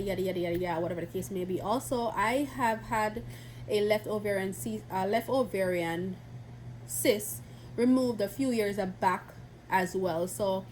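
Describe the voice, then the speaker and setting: A woman's voice: medium pitch, darkish tone, slight background noise, low-quality recording. A young woman vlogger with a stuffy, congested voice, chatting in a rambling, casual way with many fillers and restarts. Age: young adult. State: stuffy from a cold. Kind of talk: casual storytelling to camera.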